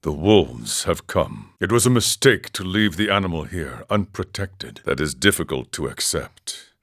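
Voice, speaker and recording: An actor playing a serene, eccentric ascetic monk in a video game, speaking in a measured, philosophical way with formal phrasing. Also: male voice, low-pitched, sing-song, a bit bright, full character voice. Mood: regretful, grave. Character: serene, eccentric ascetic drug-taking monk who relishes suffering. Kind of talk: scripted game character dialogue.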